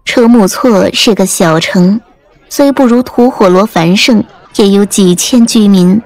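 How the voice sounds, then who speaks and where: A woman's voice: medium pitch, measured pace, darkish tone, low-quality recording. A woman reading scripted audiobook narration as the storyteller. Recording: music under the voice.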